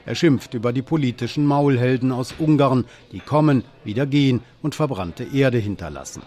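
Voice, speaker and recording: A man reading a scripted news report as a documentary voice-over, in an even, measured way. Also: medium pitch.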